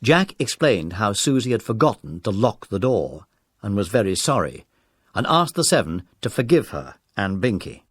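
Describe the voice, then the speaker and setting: A man's voice: on the low side, sing-song, normal speed, husky, no echo, clean studio sound. A man reading scripted narration for a children's audiobook drama.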